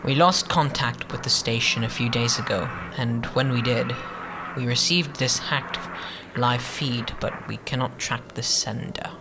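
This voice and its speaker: An actor playing an anxious, deferential advisor in a sci-fi audio drama, formal, with a hesitant stammering delivery. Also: male voice, medium pitch, fairly even intonation, a little slow, noisy background, close to an everyday voice. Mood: uneasy briefing.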